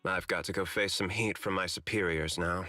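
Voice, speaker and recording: An actor playing a candid, politely ambitious corporate security chief in a video game, speaking in an articulate, confiding way. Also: male voice, sits low, fairly even intonation, moderate pace, sharp tone. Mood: genial. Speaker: corporate manager character. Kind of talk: video game story dialogue. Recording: music behind the voice.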